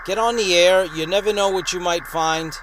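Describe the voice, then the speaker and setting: A man hosting an amateur radio vlog, talking casually and giving deliberate on-air calls. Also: high voice. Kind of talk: casual radio commentary and on-air call-signs. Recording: very noisy, radio static and hiss. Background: loud ham radio noise.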